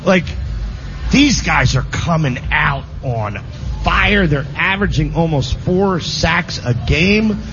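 A man's voice: fairly high pitch, animated, slow, a bit bright. A man hosting a sports talk show, talking casually with many restarts and fillers. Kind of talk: casual sports radio chat. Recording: slightly muffled broadcast audio. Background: music in the background.

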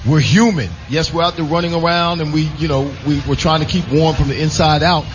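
A man's voice: fairly high pitch, steady tone, fairly quick. A man, a former athlete, making emphatic, repeated points in a casual sports discussion. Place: live broadcast from a casino. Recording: muffled, faintly noisy live venue recording.

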